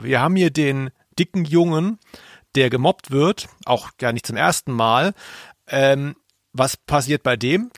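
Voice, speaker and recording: A man's voice: mid-range voice, no echo, clean studio sound. A man co-hosting a casual podcast, talking reflectively and searching for his words with repetitions. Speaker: podcast co-host. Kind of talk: casual conversational podcast analysis.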